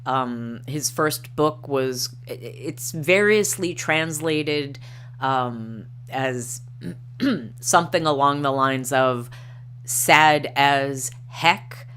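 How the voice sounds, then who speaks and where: Woman streamer reading articles out slow, lots of ums, low voice, bit of hum behind. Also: fairly bright tone. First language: not Norwegian. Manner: hesitant, many ums and uhs, reads quotes aloud. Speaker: livestream host. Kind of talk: casual chat reading articles aloud.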